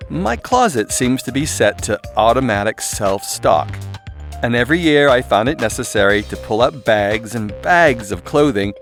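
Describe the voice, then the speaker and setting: Wry male audiobook narrator over music. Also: fairly low pitch. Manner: irreverent, sardonic, comically exaggerated phrasing. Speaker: author reading his own audiobook. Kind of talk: scripted first-person audiobook narration. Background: music and soundscape.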